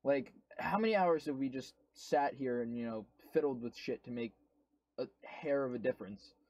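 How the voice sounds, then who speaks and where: A man's voice: mid-range voice, normal speed, a little mellow, dry recording. A man co-hosting a casual podcast, rambling with lots of fillers and some swearing. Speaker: co-host. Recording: dull lo-fi sound.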